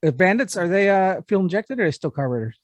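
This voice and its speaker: A man with a New Jersey accent talks casually as a podcast panelist. His voice is a little high for a man. The recording is dry, without room echo. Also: expressive, quick pace. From New Jersey, USA. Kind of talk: casual podcast chat and reading aloud.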